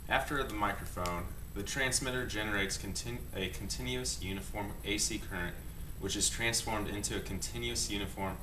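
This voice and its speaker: A man's voice: fairly low pitch, normal speed, few pauses. A young man giving a scripted explanation as a student presenter. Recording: echoey, distant mic, clearly noisy.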